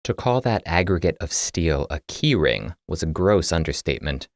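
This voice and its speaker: A man's voice: low-pitched, sing-song, normal speed, no echo, studio quality. A man reading scripted fiction as an audiobook narrator.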